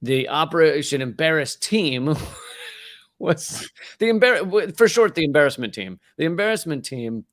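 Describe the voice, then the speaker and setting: A man's voice: fairly high pitch, sing-song, unhurried, no room sound, studio quality. A man co-hosting a comedy podcast, rambling in a wry tone and laughing between lines. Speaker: podcast co-host. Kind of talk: casual comedy chat.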